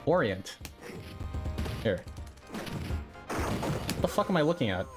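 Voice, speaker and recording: A man's voice: medium pitch, big pitch swings, slow pace. A man streaming casually, rambling in a blunt, profane and hesitant way. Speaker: streamer reacting to another player's stream. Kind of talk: casual livestream chat, rambling. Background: music, another streamer's gameplay.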